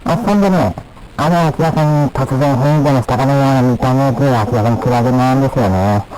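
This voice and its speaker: A man's voice: mid-range voice, fairly quick, lightly acted. A man playing a polite, chatty, slightly hesitant professor giving a phone interview. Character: chatty male marine biology professor. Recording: noisy phone interview with clicks.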